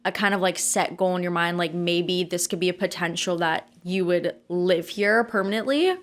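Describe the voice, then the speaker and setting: A woman's voice: on the low side, steady tone, moderate pace. A woman hosting a casual podcast, upbeat and bubbly, with casual "like" fillers in her questions. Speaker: podcast host.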